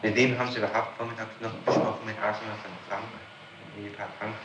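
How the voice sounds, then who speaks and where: A man with a fairly low voice speaks as a prosecutor on an old, noisy tape. He is formal and deliberate in an echoing room, putting insistent questions or reading statements. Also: flat delivery. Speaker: public prosecutor. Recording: noisy echoey archival tape recording.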